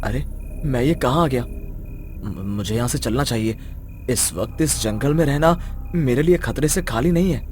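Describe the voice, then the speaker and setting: A man playing a poor, greedy, scheming village husband in an animated story, exclaiming and coaxing. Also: mid-range voice, unhurried, a little hiss or hum, lightly acted. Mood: uneasy. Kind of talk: Hindi animated story dialogue.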